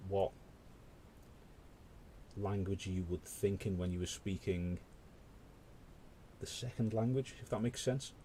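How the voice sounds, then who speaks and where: British guy rambling through a paint-along stream, low mellow voice. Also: slight background noise, low-quality recording. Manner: rambling, conversational, full of asides. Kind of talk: casual chat while painting.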